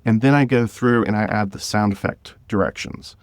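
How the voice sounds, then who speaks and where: A man's voice: on the low side, normal speed, no echo, clean studio sound. A man speaking as a podcast guest, explaining his creative work in a casual, conversational way. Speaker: podcast guest, scriptwriter of an audio drama.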